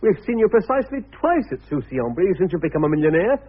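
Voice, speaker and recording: An actor playing a pushy, indignant brother-in-law in a radio comedy, blunt, nagging and full of exclamations. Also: male voice, high voice, very animated, fast, husky, heavily acted. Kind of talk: radio comedy drama acting. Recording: narrow-band, noisy radio drama recording.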